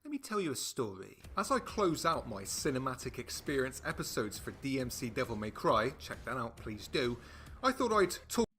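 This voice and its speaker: A man's voice: medium pitch, very animated, normal speed, a bit bright, a little hiss or hum. A man opening his video review in a storytelling way.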